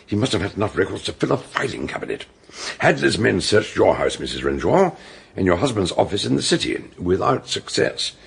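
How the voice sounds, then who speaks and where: Older male detective, low, extremely husky, theatrical, measured radio drama storytelling. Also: very animated, exaggerated voice. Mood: deliberate, assured. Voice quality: very husky, gravelly. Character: eccentric older male detective recounting a case. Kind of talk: scripted radio drama narration.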